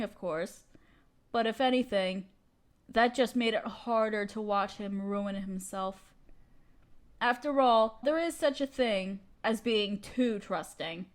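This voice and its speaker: A woman's voice: fairly high pitch, natural voice. A woman playing a haughty evil queen and sorceress in an audio roleplay, speaking in a theatrical, condescending, commanding way. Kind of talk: scripted audio roleplay monologue.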